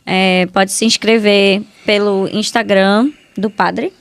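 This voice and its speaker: A Brazilian woman speaks as a medical guest on a podcast, in a medium-pitched voice with a dry, echo-free sound. She explains things in a casual way, restarting phrases as she goes. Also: fairly even intonation, takes it slowly.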